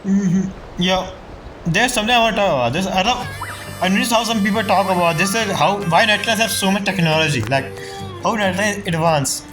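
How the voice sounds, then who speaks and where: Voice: mid-range voice, brisk, poor audio. A game streamer casually reacting to the story and reading dialogue choices aloud. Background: game music.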